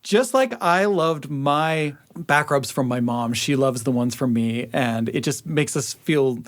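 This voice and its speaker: A man's voice: mid-range voice, a little slow. A man, a radio producer, chatting casually in a studio about personal experiences. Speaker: radio show producer and panelist.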